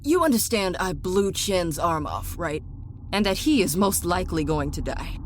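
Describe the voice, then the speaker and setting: A woman playing an injured enemy soldier in an audio drama, defiant yet rattled, speaking in a halting, bitter, incredulous way. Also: on the low side, lively intonation, a little hiss or hum, lightly acted.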